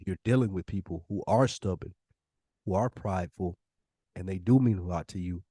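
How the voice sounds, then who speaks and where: A man's voice: sits low, normal speed, warm tone, husky, dry recording. An American man co-hosting a podcast, giving conversational advice in an emphatic way and repeating phrases for weight. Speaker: podcast co-host.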